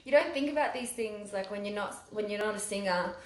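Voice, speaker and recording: A young woman hosting a podcast, chatting casually in a bubbly, sympathetic way. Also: medium pitch. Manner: bubbly, sympathetic, quick to laugh. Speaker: podcast host. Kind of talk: casual interview chat. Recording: roomy echo.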